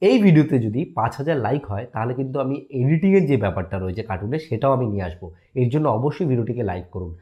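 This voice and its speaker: A man's voice: medium pitch, expressive, rapid-fire, few pauses, muffled recording. A man hosting a casual app tutorial, explaining step by step and often checking in with listeners. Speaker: tutorial host.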